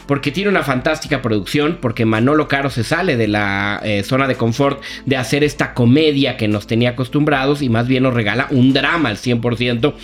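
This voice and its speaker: A man's voice: medium pitch, a little fast, hardly any pauses. A man with a Mexican accent giving a casual, colloquial review as a critic on a talk show, telling plots like gossip. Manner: colloquial, gossipy storytelling with slang.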